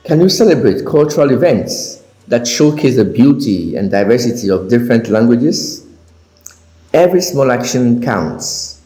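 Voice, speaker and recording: A man giving a measured, earnest prepared speech. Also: medium pitch, big pitch swings, dark tone. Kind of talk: prepared speech on language diversity. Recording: echoey room with faint music.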